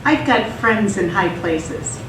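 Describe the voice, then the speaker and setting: A woman's voice: medium pitch, expressive, takes it slowly. A woman acting a scheming, confident sister in a live radio theatre play. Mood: coaxing, smug. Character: scheming, confident sister conning her brother for a cabal. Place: theatre stage. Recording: echoey stage, distant mic, faint noise.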